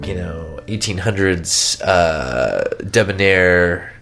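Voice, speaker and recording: A man's voice: deep voice, expressive, slow, a bit bright, faint music behind. A man co-hosting a podcast in casual chat, with a nasal voice and stuffy from a cold, rambling and joking. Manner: rambling, joking, stumbling restarts. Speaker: podcast co-host.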